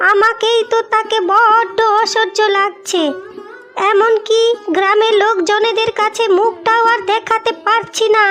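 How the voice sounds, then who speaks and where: Shrill, scolding old mother-in-law. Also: a bit bright. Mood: bitter complaint. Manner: nagging, insulting, complaining. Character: scolding, bitter village mother-in-law with grown son. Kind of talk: Bengali cartoon moral story acting. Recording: music behind the voice.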